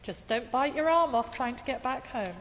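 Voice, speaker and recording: A woman's voice: high-pitched, moderate pace, in a large hall, close to an everyday voice. A woman voicing a fretful, grumbling Christmas present in a puppet show, fussy and sardonic.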